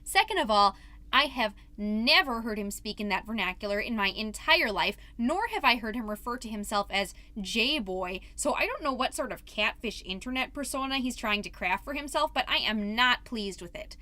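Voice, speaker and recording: A woman's voice: on the high side, lively intonation, moderate pace, bright, forceful tone, no room sound. A woman playing a chatty, wholesome suburban mom podcaster, doting and easily exasperated, in a scripted comedic monologue. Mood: indignant. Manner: chatty, dramatic, folksy minced oaths.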